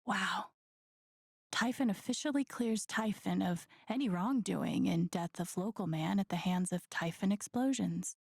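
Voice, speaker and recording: A woman playing a wry, quietly observant young video game heroine, speaking her inner thoughts in an understated, dry way. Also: medium pitch, measured pace, vocal fry, breathy edge. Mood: wry disbelief. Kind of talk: video game character acting, inner monologue.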